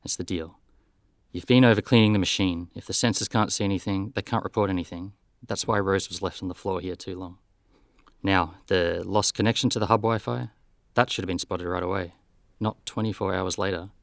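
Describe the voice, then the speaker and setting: Actor as businesslike male investigator for radio play: deep, creaky voice, plain-spoken and brisk. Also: steady tone, no echo, studio quality. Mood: firm. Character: brisk, matter-of-fact male detective investigating robot accidents. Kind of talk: scripted audio drama.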